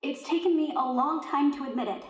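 A woman's voice: high-pitched, brisk, lightly acted. Earnest teen girl played by a woman, echoing room. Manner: earnest, confessional. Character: candid, earnest teenage girl testifying to peers. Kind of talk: audio drama testimony. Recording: echoey room, distant mic.

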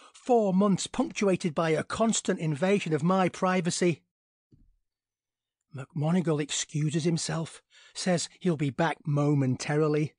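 A man acting a high-pitched, sardonic, put-upon tenant. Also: a little mellow, no room sound, studio quality, close to an everyday voice. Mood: indignant. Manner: sardonic, grumbling, slangy. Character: irritable, sarcastic male tenant, put-upon. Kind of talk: audio drama monologue.

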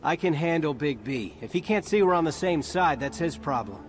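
Man acting a higher-pitched brash young-hero type. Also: lively intonation, moderate pace, lightly acted. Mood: confident. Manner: casual, slangy, wry. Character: brash, determined human soldier hero, wry. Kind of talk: naturalistic video game acting. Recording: loud music behind.

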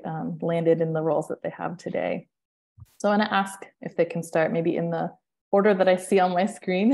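A woman hosts an online panel over a video call and introduces the speakers. Her voice sits fairly low and sounds warm and soft. She talks in a friendly, halting way with many fillers. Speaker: panel moderator.

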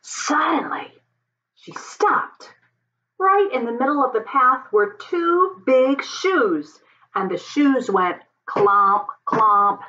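Animated woman reading a picture book. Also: sits high, lo-fi sound. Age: middle-aged. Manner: deliberate, dramatic emphasis and pauses. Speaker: storybook reader for children. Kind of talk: expressive picture-book read-aloud. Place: home office, indoors.

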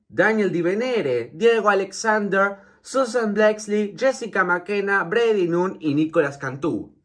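A man's voice: high-pitched, fairly bright tone. A man reading out scripted cast credits as the announcer of a fan dub. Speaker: fandub announcer.

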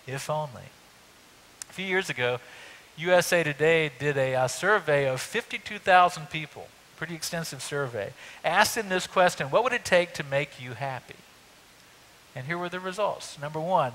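Middle-aged pastor preaching conversationally. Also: male voice, on the high side, low-quality recording. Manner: conversational preaching with lively emphasis. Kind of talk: sermon. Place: church stage. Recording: faint hiss.

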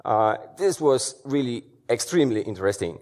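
A man's voice: medium pitch, expressive, normal speed, heavy background noise. Man, 30s, conference speaker, chatty with fillers, big auditorium. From Poland. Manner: conversational, many fillers and restarts. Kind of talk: conference talk. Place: large dark auditorium stage.

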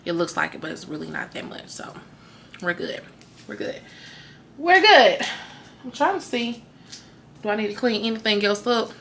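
A woman's voice: medium pitch, expressive, moderate pace, vocal fry, long pauses, a bit bright, slight background noise. A young woman, chatting casually to the camera at home at night, tired and rambling, often trailing off to herself. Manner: tired, rambling, talks to camera and her dog. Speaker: vlogger. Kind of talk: casual vlog chat.

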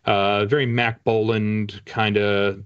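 A middle-aged man with a fairly low voice, chatting as a guest on a video call. He is wry and a little hesitant as he explains things. The sound is somewhat dull and lo-fi. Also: flat delivery, slow, clean tone. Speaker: guest, author. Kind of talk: casual interview talk. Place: book-lined room at home.

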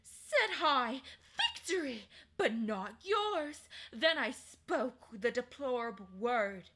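A woman's voice: a little high, expressive, slow, bright, forceful tone, full character voice. A woman voicing a proud, cruel ancient queen in a storybook reading, haughty and declaiming.

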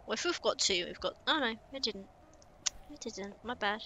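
A woman's voice: a little high, expressive, moderate pace, sharp tone, slight background noise. A young woman, chatting casually to herself while playing a building game on stream. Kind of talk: casual gameplay commentary.

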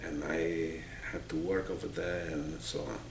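Deep, gravelly elderly Polish-accented man. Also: steady tone, a little slow. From Poland. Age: 80s. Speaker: interviewee, Holocaust survivor. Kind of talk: oral history recollection. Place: small office indoors, seated. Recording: roomy, noisy, distant microphone.

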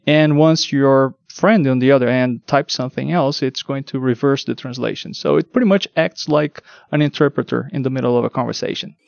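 A man's voice: medium pitch, brisk, creaky, few pauses, darkish tone, dry recording, lo-fi sound. A man whose first language is Portuguese, explaining technical work as an interview guest, with frequent ums and repeated words. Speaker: guest, translation test lead.

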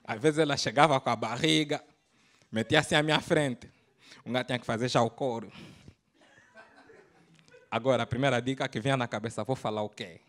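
An Angolan comedian in his thirties works a club crowd into a handheld mic. He tells his stories in street slang, jumping between loud outbursts and hushed asides. His voice sits at an ordinary middle pitch. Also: steady tone, fairly quick, pauses often. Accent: Angolan Portuguese. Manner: storytelling with slang, swings between loud bursts and low asides. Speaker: stand-up comedian. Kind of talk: stand-up routine. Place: comedy club stage with audience.

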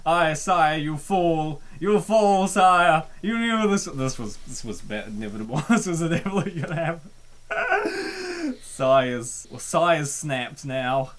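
A man hosting a comic dub, giving joking, theatrical and excitable commentary and reading comments aloud. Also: fairly high pitch, slow pace.

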